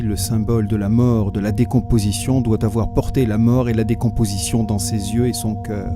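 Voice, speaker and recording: An actor playing a haunted, brooding man who narrates his uneasy memories in a solemn, measured literary reading. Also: fairly low pitch, lightly acted. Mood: sombre, reflective. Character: haunted, brooding man recalling an uncanny old house. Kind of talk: dramatised literary reading with sound design.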